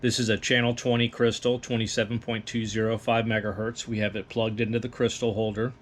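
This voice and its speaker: A man's voice: on the low side, flat delivery, fairly quick, slight background noise. A man presenting an explanatory equipment demonstration in a methodical, instructional manner. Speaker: presenter of radio equipment tutorial.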